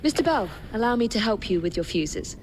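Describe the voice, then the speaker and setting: Woman playing composed, high-voiced young Victorian assassin. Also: animated, a little fast, darkish tone, slight background noise, close to an everyday voice. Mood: polite, helpful. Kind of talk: dramatic game cutscene acting.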